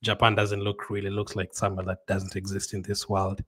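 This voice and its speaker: A man, hosting a casual livestream and answering viewer comments in a conversational, halting way. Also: deep voice, monotone, fairly bright tone, husky. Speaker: livestream host.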